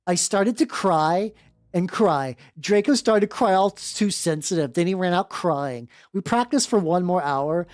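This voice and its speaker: A woman's voice: lowish voice, expressive, a little slow, darkish tone, dry recording. A woman streamer reading fan fiction dramatically, breaking in with wry joking asides. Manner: theatrical, self-interrupting, wry commentary. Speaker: streamer, host. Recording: dry close sound with music behind. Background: background music.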